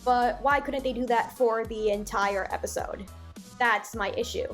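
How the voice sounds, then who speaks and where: A woman with a high-pitched voice delivers an opinionated video review. She talks conversationally and lets her exasperation show. Music plays underneath her commentary. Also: moderate pace. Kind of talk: cartoon review commentary with live reactions. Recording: voice-over with background music.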